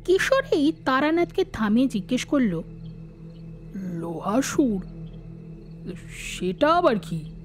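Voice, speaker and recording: A woman playing a curious listener in a horror audio story, with a drawn-out, expressive delivery. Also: high-pitched, soft background music. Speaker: narrator and supporting character.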